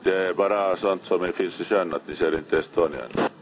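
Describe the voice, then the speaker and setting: Male ship officer, extremely low voice, coordinating over a dull marine radio link. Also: fairly even intonation. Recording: muffled, band-limited marine radio transmission.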